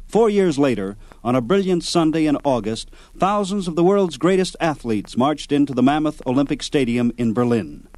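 A man's voice: on the high side, expressive, moderate pace, few pauses, darkish tone, slight background noise. A man narrating a scripted sports history documentary.

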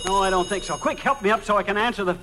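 An actor playing a blustery, stubborn middle-aged husband in an old American radio comedy, with an American accent and a folksy, grumbling, exclamatory delivery. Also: male voice, high voice, very fast, close to an everyday voice. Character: blustery, accident-prone, stubborn husband, comic and excitable. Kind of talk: 1940s-50s American radio comedy acting. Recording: noisy vintage radio recording.

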